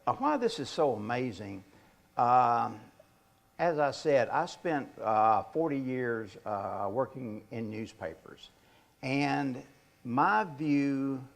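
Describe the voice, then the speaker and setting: An older man delivers an informal talk with a stutter. His speech is slow and halting, with repeated words and blocks, and his voice has a gravelly creak at a medium pitch. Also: lively intonation. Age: 60s or older. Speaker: author giving a presentation.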